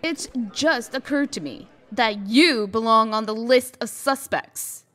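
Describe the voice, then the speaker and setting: Icy, slow-spoken scornful woman played by an actress. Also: on the high side, a bit bright. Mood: cold, bitter. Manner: sarcastic, withering. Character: icy, venomous woman executive, scornful ex-wife. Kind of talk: comic dub voice acting.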